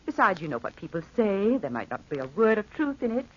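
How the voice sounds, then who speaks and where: An actress playing an opinionated, dismissive local gossip in a 1940s radio drama, with a brisk, knowing manner. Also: female voice, mid-range voice, very animated. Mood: scoffing, gossipy. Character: dismissive, opinionated small-town gossip woman. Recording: dull vintage radio drama recording.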